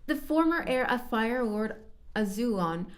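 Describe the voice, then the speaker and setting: A woman reading scripted narration as an amateur voice actor, halting and stumbling over words as she does retakes. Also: fairly high pitch, expressive.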